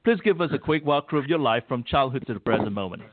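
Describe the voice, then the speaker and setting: A man hosting a radio talk show, introducing a guest and interviewing him in a formal, measured way. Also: medium pitch, expressive, dry recording. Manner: formal, warmly measured delivery. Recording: tinny phone line.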